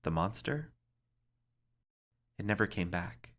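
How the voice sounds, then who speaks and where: A man narrates a somber tale in a theatrical read-aloud style. His voice sits a little low but has a bright, forceful edge. The phrasing is deliberate and dramatic, like a librarian performing a story. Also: animated.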